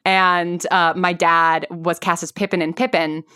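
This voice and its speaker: A woman in her thirties speaks in a slightly low voice, recorded very dry. She talks casually as a guest in an interview, rambling along animatedly and peppering her sentences with "like". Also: little pitch movement, a little slow, pushed and bright, smooth, no echo. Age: 30s. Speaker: guest, audio drama writer.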